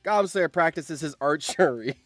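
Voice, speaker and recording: A man live-streaming as host, reading out game results with animated, excitable commentary and surprised exclamations. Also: fairly high pitch, normal speed, dry recording.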